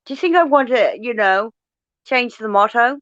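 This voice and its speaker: An Australian woman in casual chat, blunt and quick to swear as she tells her stories. The sound is dry and close, and a bit dull. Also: a little high, no room sound. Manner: blunt, swears freely, animated storytelling.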